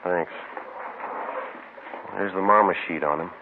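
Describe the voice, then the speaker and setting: An actor playing a terse, matter-of-fact police detective sergeant in a mid-century radio drama. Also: male voice, deep voice, fairly even intonation, measured pace. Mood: businesslike. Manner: clipped, matter-of-fact, understated. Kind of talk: 1950s radio drama acting, narration and dialogue. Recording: muffled, noisy old-time radio recording.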